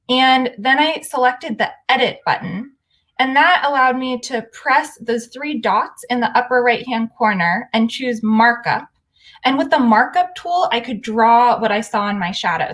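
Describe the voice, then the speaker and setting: A woman's voice: fairly high pitch, fairly bright tone. A woman presenting in an online workshop, explaining steps in a casual instructional style. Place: online video session.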